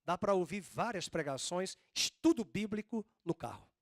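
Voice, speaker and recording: A man preaching a sermon as pastor, with a drawn-out Northeastern Brazilian accent and slow, emphatic stresses. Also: high-pitched, lively intonation, no room sound, clean studio sound. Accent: Northeastern Brazilian Portuguese.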